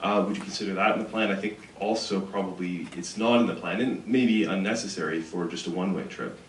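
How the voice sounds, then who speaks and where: A man's voice: deep voice, fast talker. A man in the audience eagerly asking technical questions, thinking aloud as he talks. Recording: echoey room, distant mic, faint noise.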